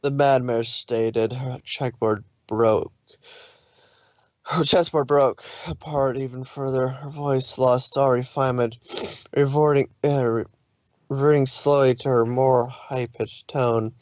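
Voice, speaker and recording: Guy narrating a fanfic, dramatic but stumbly, regular pitch, dull dry mic. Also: fairly even intonation, slow pace, darkish tone, dry recording. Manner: dramatic storytelling, stumbles over words. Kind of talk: scripted narration of fan fiction.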